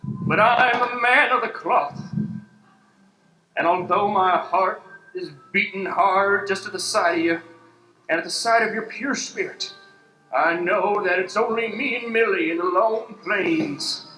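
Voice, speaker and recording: A man's voice: sits high, unhurried, bright, forceful tone, soft background music. A man playing a God-fearing gunslinging parson in an improvised Western radio play, declaiming solemnly in folksy frontier phrases on an echoey, noisy stage. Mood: solemn, gracious. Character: God-fearing male gunslinging parson of the Old West, righteous. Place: live improv stage with audience. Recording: echoey stage hall, background noise.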